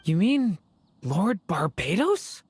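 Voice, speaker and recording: A man's voice: sits high, very animated, takes it slowly, breathy edge, exaggerated voice. A man voicing a self-assured young dragon in human form, with a casual, wry manner, in a video game. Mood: smug, relaxed.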